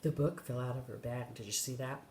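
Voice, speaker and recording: An older woman reading a children's story aloud in an expressive way, with brief asides. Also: rumbling low, brisk. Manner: expressive, animated. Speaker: storybook reader. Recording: roomy echo.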